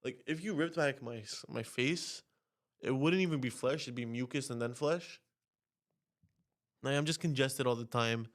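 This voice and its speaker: A young man from Canada with a nasal, congested voice, talking casually and profanely on his podcast, his pitch jumping up when he rants. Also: moderate pace. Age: young adult. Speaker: podcast host. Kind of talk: casual rambling monologue. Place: home, on camera.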